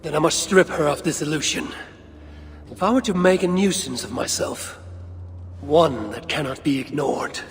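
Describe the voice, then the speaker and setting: An actor playing a proud, resolute god in a fantasy video game, speaking in a formal, commanding, measured way. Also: male voice, a little high, gravelly. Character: proud, resolute god, a father seeking his son. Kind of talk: video game cutscene acting. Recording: long reverb, some background noise.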